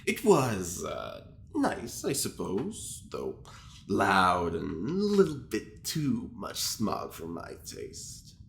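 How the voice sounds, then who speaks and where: Haughty gossiping male prisoner: unhurried, low and creaky, theatrical, in an echoing room. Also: wildly swooping pitch, exaggerated voice. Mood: wistful, haughty. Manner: affected, leisurely, theatrical, fussy. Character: prim, self-important male prisoner, scheming gossip feigning innocence. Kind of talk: audio drama diary monologue. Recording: roomy echo with faint background noise.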